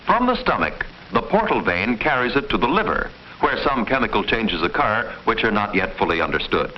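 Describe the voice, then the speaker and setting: A man reading formal, measured, didactic scripted narration in the style of a mid-century educational film. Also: lowish voice, very animated. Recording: muffled, noisy old film soundtrack.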